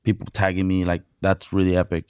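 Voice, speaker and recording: Smooth-voiced man telling excited stories. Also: low-pitched, brisk, no echo, clean studio sound. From Mexicali, Baja California, Mexico. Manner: excited storytelling, heavy filler words, swearing. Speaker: DJ guest. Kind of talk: casual interview chat.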